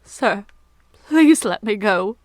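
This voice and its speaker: A desperate young street youth pleads in a high, shaking, tearful voice, as a woman puts him on. His voice climbs well above her narrating pitch and gets louder as he begs. The sound is dry and close. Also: racing, no room sound, natural voice. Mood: pleading. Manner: shaky, tearful begging.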